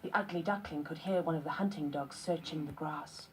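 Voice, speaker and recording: A woman narrating a children's story from a script in measured phrases with short pauses. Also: sits low, a little hiss or hum, low-quality recording. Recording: music behind the voice. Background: music.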